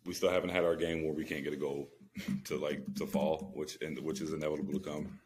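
A man with a very deep voice talks quickly as a podcast co-host. His sports chat is casual and slangy, full of repeated words for emphasis. There is some background noise on the recording. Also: little pitch movement, darkish tone.

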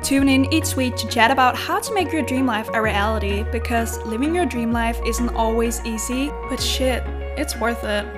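A woman's voice: fairly high pitch, moderate pace, few pauses, over music. A woman in her twenties with a Danish accent, co-hosting a podcast and chatting casually over a phone call, giggly and a little self-conscious. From Denmark. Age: 20s. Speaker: podcast co-host. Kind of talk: casual chat.

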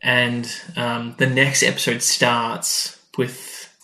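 A man's voice: mid-range voice, completely flat delivery, slow pace, sharp tone, roomy sound, poor audio. A man co-hosting a podcast, casually recapping a story and acting out people's reactions as he retells it. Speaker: podcast co-host. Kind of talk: casual episode recap chat.

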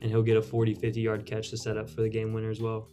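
A man's voice: fairly low pitch, completely flat delivery, racing, dark tone, faint music behind. A man on a sports podcast panel, casually arguing his picks and analysis.

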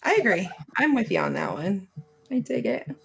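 A woman's voice: mid-range voice, sing-song, normal speed, gravelly, husky. A woman hosting a casual chat, with a playful, teasing manner and dry jokes. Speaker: podcast host.

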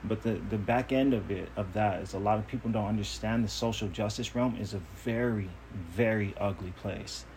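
A man's voice: on the low side, moderate pace, hardly any pauses, darkish tone, slight background noise, rough recording. A man talking on his own podcast in a casual monologue, earnest, self-assured and emphatic. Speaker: podcast host.

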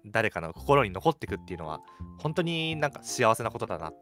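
Fast, animated low-voiced man co-hosting. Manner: polite speech mixed with casual banter. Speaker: radio show co-host. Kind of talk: casual radio chat. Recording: music playing behind the voice. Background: background music.

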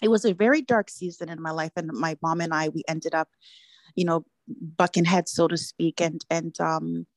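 Female interview guest from Jamaica, slightly low voice, sharing her testimony reflectively. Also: steady tone, moderate pace, no room sound. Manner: earnest, reflective storytelling.

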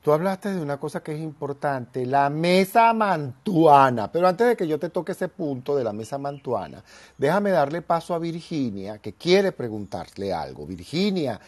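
A man hosts an interview. He is effusive and exclamatory. His pitch swings widely as he speaks. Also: big pitch swings, moderate pace, a little mellow. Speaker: host. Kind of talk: interview talk.

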